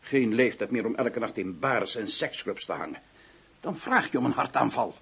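A man's voice: mid-range voice, animated, moderate pace, gravelly, fairly bright tone, breathy edge, full character voice. A man playing a stern, disapproving, moralizing doctor in a radio drama. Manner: stern, censorious.

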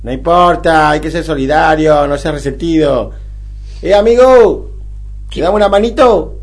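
A man playing a scrappy, friendly Argentine street windscreen washer in a radio comedy-drama, pleading and familiar in a husky voice. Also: on the high side, normal speed, natural voice. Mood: helpful, friendly. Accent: Argentine (Rioplatense).

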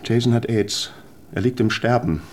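A man's voice: mid-range voice, fairly even intonation, normal speed, slight background noise, natural voice. An actor playing a nervous, apologetic man who fumbles through explaining a job in a radio drama. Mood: anxious. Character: nervous man hiring a killer for a dying friend.

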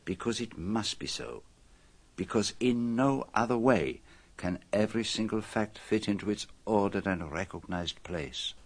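A man playing a polite, methodical foreign detective in a radio drama, with a French accent and precise, measured oratory. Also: on the low side.

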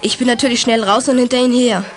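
A woman's voice: a little high, fairly even intonation, racing, close to an everyday voice. A woman playing a tough, impulsive teenage boy in a children's radio play, earnestly telling his story in short sentences. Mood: aggrieved, earnest.

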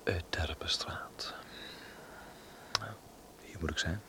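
An actor in a Dutch radio drama playing a troubled, inwardly anguished man in wartime, speaking tersely and quietly. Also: deep voice, slow pace, in a whisper. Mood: brooding, hushed.

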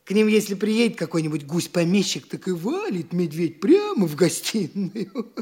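An actor playing a boastful, whining, penniless young dandy in an audio drama, theatrical and excitable, by turns wheedling and petulant. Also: male voice, high voice, moderate pace, husky, full character voice. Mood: boastful, pompous.

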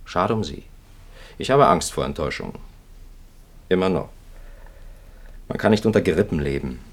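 Low-voiced, earnest captive priest. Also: male voice, animated. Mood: grave, reflective. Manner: earnest, reflective, deliberate phrasing. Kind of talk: 1950s German radio play acting.

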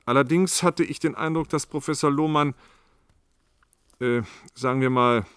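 An actor playing a cautious, diplomatic politician in a German radio play, choosing formal words with some hesitation. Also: male voice, mid-range voice, moderate pace, fairly bright tone, clean tone, dry recording, clean studio sound, lightly acted.

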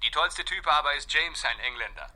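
An actor playing a dutiful police assistant who reports briskly and deferentially in an old radio drama. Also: male voice, fairly high pitch, animated, pushed and bright. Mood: informative, amused. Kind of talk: 1960s German radio drama acting.

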